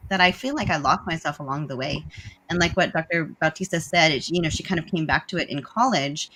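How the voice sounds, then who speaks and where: A woman hosts a panel over a video call. Her voice sits low, and she talks in a chatty, self-interrupting way with quick laughs. Her first language was Tagalog, but she grew up in the United States. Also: a bit bright, rough recording. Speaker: host.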